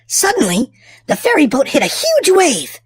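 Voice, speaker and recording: A woman hosting and narrating a children's storybook aloud, with theatrical, drawn-out emphasis for small children. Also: sits high, huge pitch swings, dry recording. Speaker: children's storybook reader and channel host. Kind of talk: expressive read-aloud narration for young children.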